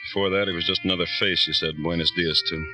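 An actor playing a weary, fatalistic neighborhood detective in a 1950s radio drama, with terse, hesitant phrasing. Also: male voice, sits low, steady tone, fast talker, close to an everyday voice. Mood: weary, grim. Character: weary, fatalistic male barrio beat detective. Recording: dull old radio recording, music behind.

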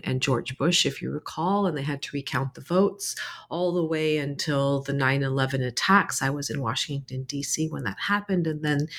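A woman in her forties with a low-pitched voice talks through her background as a guest author. She is originally from Egypt, and she speaks thoughtfully, pausing on fillers and restarting her sentences as she works out her answers. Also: fairly bright tone. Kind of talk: conversational interview answers.

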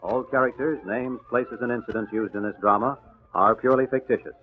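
A man, the show's announcer, reading a formal, measured closing announcement. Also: medium pitch, steady tone. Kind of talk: scripted radio announcement. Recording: tinny old radio recording with faint music.

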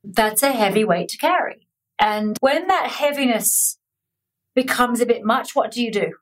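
A woman interviewing a guest, telling stories in an animated, chatty way with laughter. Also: a little high, moderate pace, slight background noise, low-quality recording. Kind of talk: conversational interview.

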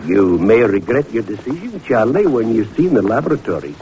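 An actor playing a wry, skeptical senior professor in an old-time radio drama, musing deliberately and teasing drily. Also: male voice, on the low side, very animated, dark tone, rough recording. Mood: dry, teasing. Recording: vintage radio recording with hiss.

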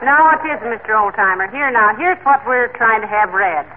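An actress playing a patient, gently chiding wife with an American accent, polite and teasing, in 1940s radio comedy style. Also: female voice, mid-range voice, brisk, natural voice. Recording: noisy, tinny old radio broadcast.

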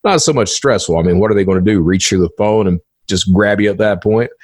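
A man playing an easygoing, boastful male cybercriminal in an interview role-play, halting and repeating phrases in stilted formal wording. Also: on the low side, a little mellow, no echo, studio quality, close to an everyday voice. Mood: amused, nonchalant.

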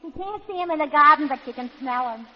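A high-voiced, pompous Brooklyn would-be tragedienne, declaiming with grand theatrical airs that keep slipping into brash slang. It sounds like a muffled old radio comedy broadcast. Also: female voice, expressive, fast talker, a little hiss or hum. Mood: melodramatic. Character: pretentious would-be grand actress playing Juliet, brash underneath. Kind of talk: 1940s radio comedy acting.

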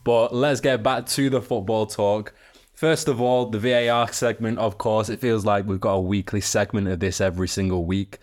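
A man's voice: on the low side, fairly even intonation, normal speed. A man hosting a football podcast, presenting and discussing the sport with a co-host.

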